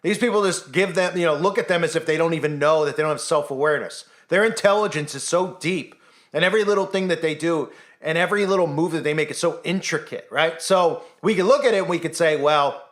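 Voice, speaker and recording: Higher-voiced man, insistent commentator. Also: fast talker. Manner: insistent, rhetorical, often tags statements with "right?". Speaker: online commentator. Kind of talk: informal conspiracy commentary monologue.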